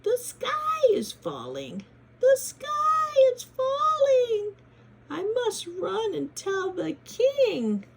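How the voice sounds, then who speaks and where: A woman's voice: huge pitch swings, drawn-out words, gravelly, a little hiss or hum, heavily acted. A woman voicing a panicky female hen from a storybook, squawking in dramatic alarm. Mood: panicked. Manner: shrill, dramatic alarm cries.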